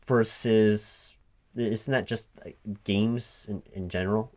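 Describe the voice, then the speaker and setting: A man speaking aloud in a one-sided job interview ASMR roleplay as a recruiting manager, halting and puzzled, often repeating things to check them. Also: on the low side, steady tone, darkish tone. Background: computer keyboard typing.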